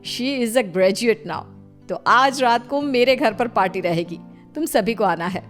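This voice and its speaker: A woman's voice: fairly high pitch, expressive, slow, soft background music, pitched above the performer's own voice. A woman voicing a doting middle-aged mother in a cartoon story, emotional and fussing over her child. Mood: cheerful, proud.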